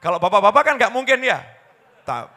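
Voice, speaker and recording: A man delivers a sermon in a high, bright, forceful voice. He drops to a hushed storytelling tone, then rises into loud, impassioned pleading. A long reverb from a large hall trails each phrase. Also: very animated, fairly quick. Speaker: preacher.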